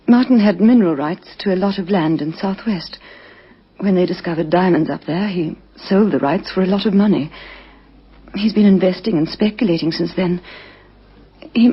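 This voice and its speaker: A shaken, well-off widow played by an actress in a vintage radio drama. Her voice is very husky and breathy, her speech halting, on a dull old recording. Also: mid-range voice, lightly acted. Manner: halting, hesitant.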